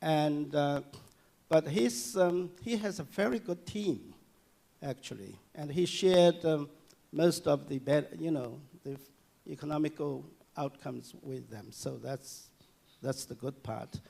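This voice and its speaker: A man giving a public lecture and answering audience questions, speaking haltingly with many fillers and restarts. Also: fairly high pitch, vocal fry, warm tone. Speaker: guest lecturer. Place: lecture hall.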